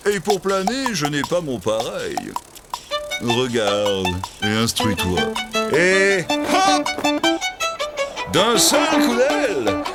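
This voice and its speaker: A man's voice: fairly high pitch, huge pitch swings, takes it slowly, long pauses, full character voice. A man voicing a proud, boastful eagle in a children's cartoon, with a pompous, mocking manner. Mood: boastful, scornful. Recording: music behind the voice.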